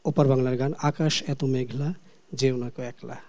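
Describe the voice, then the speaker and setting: A man's voice: medium pitch, steady tone, fairly quick, over loud music. A man speaking politely and formally as a guest singer on a studio show. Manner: courteous, formal. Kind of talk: polite interview talk.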